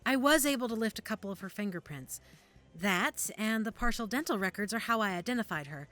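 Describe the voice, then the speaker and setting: A woman's voice: mid-range voice, animated, brisk, a bit bright, lightly acted. A woman acting in an audio drama as a cautious, helpful medical examiner, with a conversational, slightly hesitant manner. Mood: careful, sober. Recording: music under the dialogue.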